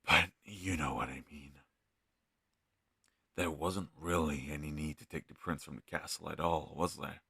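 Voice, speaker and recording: Man playing an earnest, very low-voiced fantasy healer. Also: fairly even intonation, normal speed, gravelly, sharp tone, slightly rough, no echo, studio quality, heavily acted. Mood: puzzled, reasoning. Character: earnest male healer mage, gentle, hopeful, thoughtful travel companion. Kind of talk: scripted fantasy audio roleplay addressed to the listener.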